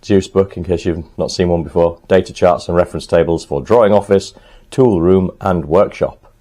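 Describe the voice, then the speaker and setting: Low mellow guy in his workshop, bit hoarse, lots of ums as he works. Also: moderate pace. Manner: chatty, thinks aloud while working, frequent ums. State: slightly hoarse, clearing throat. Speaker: motorcycle restorer and channel host. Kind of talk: casual workshop talk to camera. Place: home workshop in the countryside.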